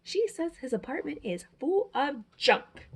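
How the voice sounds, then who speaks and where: A woman reading a children's storybook aloud as a teacher, in an expressive, dramatic way with drawn-out words. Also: high-pitched.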